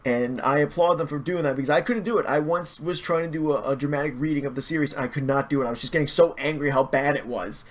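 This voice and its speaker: A man chatting casually as a podcast guest, explaining things haltingly and rephrasing as he goes. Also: on the high side.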